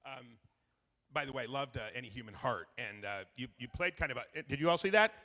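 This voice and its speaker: Bright-voiced male moderator in a hall. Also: medium pitch, animated, brisk. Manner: chatty, joking, fillers. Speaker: panel moderator. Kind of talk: convention panel moderating. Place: large convention hall. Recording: reverberant hall with faint noise.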